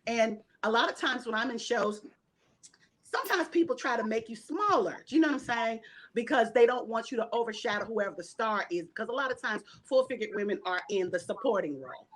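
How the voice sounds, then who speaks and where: A woman with a slightly high voice talks on a video call. She speaks emphatically, laughing as she goes, with casual slangy turns of phrase. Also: expressive, brisk. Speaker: cast member.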